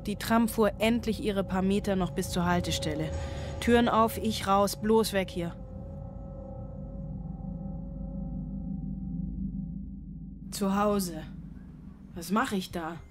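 A woman's voice: medium pitch, a little slow, noisy background, natural voice. An actress playing an anxious, overwrought single mother, brooding and confessional in a radio play monologue. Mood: weary, tense. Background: music.

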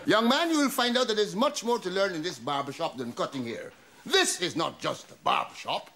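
An actor with a Guyanese accent playing a pompous, boastful older barber in a sitcom. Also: male voice, sits high, lively intonation, a little fast, bright, forceful tone, slight background noise, exaggerated voice. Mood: grandiose. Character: boastful older male barber, self-important. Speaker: barber shop owner. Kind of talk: sitcom acting.

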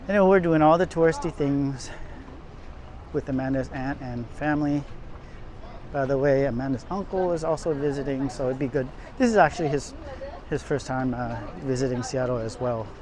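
A man narrating a casual walking tour outdoors on city streets, rambling along with many fillers and restarts while he walks and films. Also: medium pitch. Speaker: vlogger filming a walking tour. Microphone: camera held while walking, speaker off screen. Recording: outdoor street with crowd noise. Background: crowd chatter and passing traffic.

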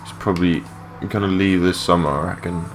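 A young man with a low voice giving rambling, matey, sweary gameplay commentary in a casual unscripted way. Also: fairly even intonation, brisk, soft background music. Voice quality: low-pitched voice. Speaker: gaming video creator. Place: his room at home. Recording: clearly noisy home mic recording.

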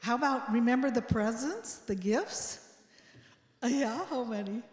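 A woman's voice: fairly high pitch, a little slow, a little mellow. An older woman leads an interactive children's sermon, asking questions and repeating back the answers. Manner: asks children questions and echoes their answers. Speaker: children's message leader at church. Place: large church sanctuary. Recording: echoey church hall with long reverb.

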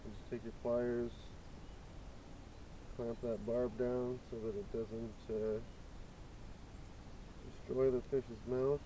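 A man vlogging outdoors by a small creek while fishing, explaining his setup in a relaxed, casual way. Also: medium pitch, slow pace, long pauses. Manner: relaxed, explains steps, excited shouts at fish. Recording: tinny, lo-fi with outdoor noise.

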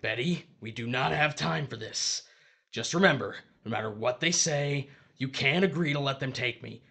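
Casting: actor as dead husband's spirit, gravelly, bullying and pleading, horror drama, mid-range voice. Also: male voice, mid-range voice, moderate pace, sharp tone. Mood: urgent, impatient. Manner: urgent, pleading, impatient. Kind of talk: horror audio drama acting.

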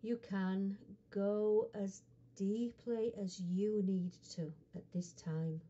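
Very dark, mellow woman guiding hypnosis. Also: medium pitch, fairly even intonation, lo-fi sound. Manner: soothing, unhurried, with frequent pauses between short phrases. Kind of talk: guided hypnosis relaxation script.

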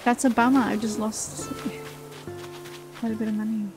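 Let's-play host, woman, 40s, chatty and self-mocking, slightly high, gravelly edge, music bed underneath. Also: level tone, normal speed. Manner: chatty, self-deprecating, thinking aloud. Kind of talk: casual gameplay commentary.

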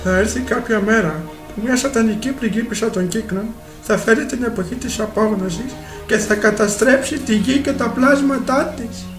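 A woman voicing a small, fearful squirrel creature in an animated film fan dub. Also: a little high, close to an everyday voice. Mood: ominous dread. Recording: echoey, distant voice over music.

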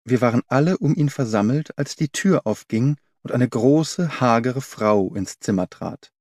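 Male narrator for literary audiobook, medium pitch, dry studio-style sound, scripted reading. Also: animated, a little slow, a little mellow, no echo.

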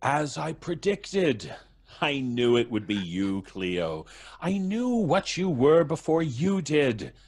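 A smug, scheming father in a horror audio drama. He speaks slowly with huge swings in pitch, dripping false affection and condescension. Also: very animated, a little mellow, full character voice. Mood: cold, pleased. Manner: sweetly condescending, drawn-out. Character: smug, manipulative villain father, falsely doting.

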